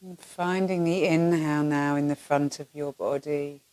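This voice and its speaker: A woman teaching yoga nidra, leading a guided relaxation in a soothing, gentle manner with long pauses between phrases. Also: low voice, fairly even intonation, no echo.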